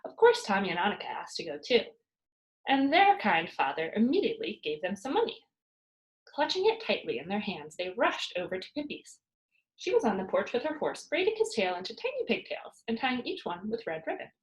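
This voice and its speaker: A woman reading a children's book aloud as a storyteller, with expressive narration for young pupils. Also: mid-range voice, brisk, low-quality recording.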